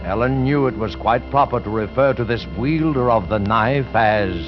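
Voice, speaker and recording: An actor playing a mysterious, ominous narrator, dramatic and formal, in 1940s radio suspense style. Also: male voice, mid-range voice, moderate pace, lightly acted. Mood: ominous, solemn. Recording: dull 1940s radio recording. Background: prominent music.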